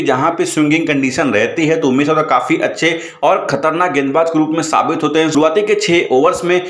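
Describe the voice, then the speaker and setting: A chatty male presenter speaks at a slightly raised pitch in a roomy, echoing space. He keeps turning to his viewers as friends and leans on the same stock phrases again and again. It sounds like a home-made voice-over for an online cricket video. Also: a bit bright. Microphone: voice-over, not recorded in pictured place.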